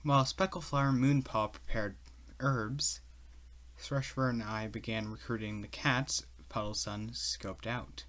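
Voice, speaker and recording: Man acting a blunt warrior cat, bright-voiced. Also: mid-range voice, steady tone, unhurried, close to an everyday voice. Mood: somber narration. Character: weary, loyal male warrior cat, skeptical and blunt. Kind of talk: fan comic dub acting and narration. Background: background music.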